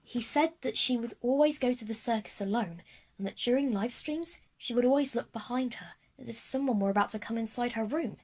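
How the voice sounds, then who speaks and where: A woman's voice: a little high, a little fast, a little mellow, husky. A woman voicing a curious, bold schoolgirl who leads her friends in an audio drama. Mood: eager.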